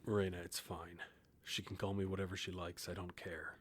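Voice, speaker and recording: An actor playing a weary, centuries-old grim reaper who speaks and narrates in a reserved, formal way for an audio drama. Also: male voice, low voice, flat delivery, normal speed, breathy edge. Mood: reserved, unbothered. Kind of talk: audio drama acting and first-person narration.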